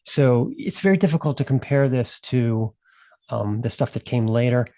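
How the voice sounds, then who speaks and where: A man co-hosting a podcast, talking in a relaxed way with lots of fillers and wry asides. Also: mid-range voice, normal speed, dry recording, clean studio sound. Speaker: podcast co-host. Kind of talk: casual podcast chat.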